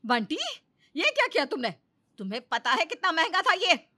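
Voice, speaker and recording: A woman's voice: very high-pitched, very animated, normal speed, sharp tone, full character voice. A woman playing a bitter, suspicious sister-in-law in a story, scolding and sarcastic.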